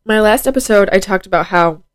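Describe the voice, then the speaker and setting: A woman's voice: medium pitch, fairly quick, no room sound. Teen girl podcaster, nasal head-cold voice, says like a lot, dry close mic at home. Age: teens. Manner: chatty, many "like" fillers. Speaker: podcast host. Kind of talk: casual solo podcast chat. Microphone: plugged into phone.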